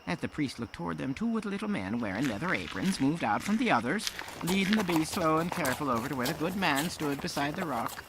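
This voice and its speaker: A man playing a kindly old Irish storyteller, folksy and gentle, telling tales in an audio drama. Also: a little high, sing-song, fairly quick, noisy background. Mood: hushed, absorbed.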